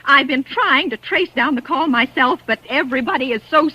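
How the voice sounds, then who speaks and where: A woman's voice: sits high, fairly quick, dull audio, natural voice. An actress playing a nervous, demanding wealthy invalid woman in a 1940s radio drama, stammering, fretful and imperious. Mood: agitated.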